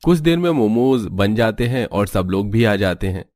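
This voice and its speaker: A man's voice: fairly low pitch, animated, normal speed, darkish tone, soft background music. A man delivering scripted narration as the storyteller of a cartoon tale.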